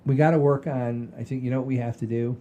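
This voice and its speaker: Mellow man rambling casually, rumbly noise behind. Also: steady tone, quick pace, lo-fi sound. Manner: rambling, repeats phrases. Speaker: comedy show co-host. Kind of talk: casual chat, addressing the audience. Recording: low rumbling background noise.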